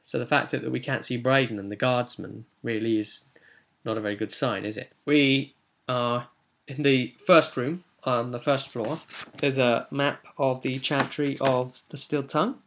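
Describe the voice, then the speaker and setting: A man's voice: mid-range voice, fairly even intonation, moderate pace, creaky, fairly bright tone. A man with a British accent casually recounting a tabletop role-playing session as game master, chatty and explanatory, with asides to the viewer.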